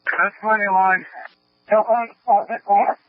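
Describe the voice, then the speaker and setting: High-voiced firefighter, a man on radio. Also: fairly even intonation, moderate pace, dry recording. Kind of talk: emergency radio traffic. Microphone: handheld two-way radio. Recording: muffled two-way radio, noise and clicks.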